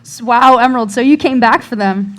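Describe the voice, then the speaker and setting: A woman's voice: on the high side, a little fast, natural voice. A young woman acting as a chatty host of a tall-tale interview show in a student radio play. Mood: surprised, curious. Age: young adult.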